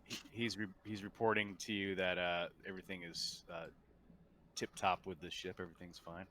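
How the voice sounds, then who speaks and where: A man running a tabletop game as game master, talking in a casual, halting, informal way. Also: low-pitched, level tone, sharp tone, slight background noise. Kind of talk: casual tabletop game narration over voice chat.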